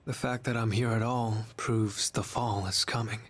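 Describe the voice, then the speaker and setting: An actor playing a gentle young harbinger of death in human form for a video game, speaking softly with grave, resigned, pleading delivery. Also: male voice, on the low side, fairly even intonation, normal speed, natural voice. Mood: grave, apologetic. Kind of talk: English video game voice acting.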